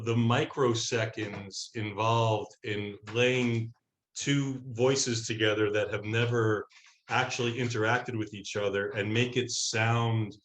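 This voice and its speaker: A man explaining things thoughtfully and haltingly as a guest in a casual interview. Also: medium pitch, fairly bright tone. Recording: roomy echo on the voice.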